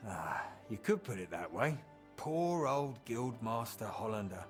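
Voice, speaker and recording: An actor voicing a chatty medieval townsman in a video game, telling colloquial, animated tales of old times with fondness. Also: on the low side, measured pace, soft background music. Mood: wry, reminiscing. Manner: animated, colloquial storyteller acting out quotes. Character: chatty medieval townsman reminiscing fondly about an old drinking friend. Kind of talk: storytelling in video game dialogue.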